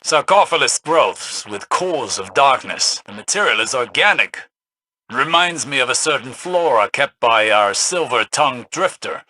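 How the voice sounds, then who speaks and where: A man's voice: medium pitch, expressive, unhurried, sharp tone, full character voice, low-quality recording. A man acting a studious, solemn companion spirit in a video game, speaking precisely and broodingly like an erudite investigator. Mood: pensive. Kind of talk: dramatic video game dialogue.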